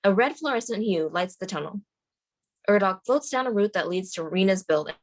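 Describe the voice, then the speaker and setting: A woman's voice: mid-range voice, lively intonation, a little fast. A woman reading screenplay stage directions as the narrator at a table read.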